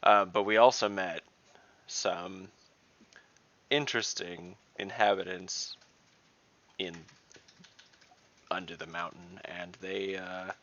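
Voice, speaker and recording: A man with a deep voice full of vocal fry plays an online fantasy tabletop game. He talks casually and hesitantly, thinking aloud as he goes, over slightly dull call audio. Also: fairly even intonation, slow pace, pauses often, fairly bright tone, a little hiss or hum. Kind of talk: casual in-game role-play chat.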